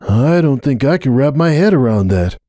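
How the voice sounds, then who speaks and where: A man voicing an overwhelmed young male pony in a dramatic story reading, a stunned new father with a breathy, unsteady, halting voice. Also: mid-range voice, very animated, warm tone, no room sound, studio quality, voice pushed up, close to an everyday voice. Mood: awed, hesitant. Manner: halting, stammering.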